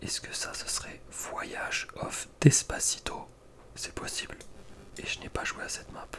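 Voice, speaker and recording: A man speaking in a breathy whisper, giving informal, slangy ASMR commentary as a gaming video creator. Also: measured pace, bright, forceful tone. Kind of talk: whispered ASMR casual commentary ranking video game maps.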